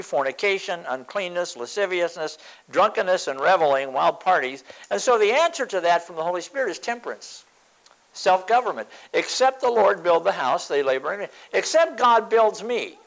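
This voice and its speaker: An older man in his seventies preaches with an emphatic, repeating style. His voice sits a little high and swings widely in pitch as he presses each point home. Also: a bit bright, poor audio. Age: 70s. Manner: emphatic, repeats phrases for stress. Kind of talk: sermon.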